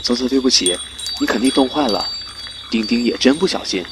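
A man playing a quiet, protective young shoe in a fairy-tale radio drama, with a gentle, subdued delivery. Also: medium pitch, animated, a little fast, noisy background, lightly acted. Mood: apologetic. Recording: clear music behind voice.

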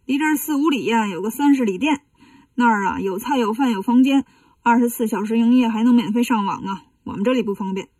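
A woman acts out a grumpy old monk from a storybook. He is snobbish and dismissive, with a mellow, husky grumble, close to dry in sound. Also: a little high, fast, no echo, distant mic, natural voice. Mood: grumbling.

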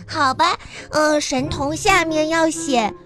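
Very high-pitched, excitable little schoolgirl. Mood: chatty, playful. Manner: childish, slow sing-song, easily amazed. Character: gullible, excitable little schoolgirl doing homework. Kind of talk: broadcast radio comedy acting. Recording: loud music behind the voice.

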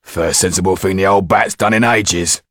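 A man's voice: deep voice, steady tone, normal speed, fairly bright tone, no room sound, clean studio sound. A man voicing a resentful coachman in an audiobook, grumbling and sarcastic.

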